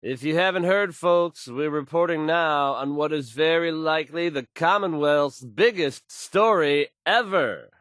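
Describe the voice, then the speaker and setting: A man's voice: a little high, measured pace, hardly any pauses, a bit bright, no room sound, clean studio sound. A man playing an assured, folksy radio DJ in a video game, delivering news bulletins to listeners with fluent, polished ease. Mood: proud, assured.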